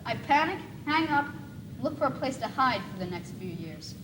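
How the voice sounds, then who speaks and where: High-voiced nervous schoolboy played by a young student. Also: sing-song, moderate pace. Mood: anxious, rueful. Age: young teenager. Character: nervous lovesick schoolboy afraid of rejection. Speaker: student actor in a school one-act play. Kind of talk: school stage monologue. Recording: noisy 1980s school video recording.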